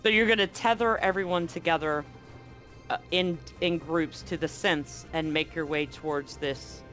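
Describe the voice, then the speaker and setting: A man's voice: on the high side, moderate pace. A man running a tabletop sci-fi roleplaying game, explaining rules conversationally and narrating scenes with some drama. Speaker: game master. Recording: faint music under the voice. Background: soft background music.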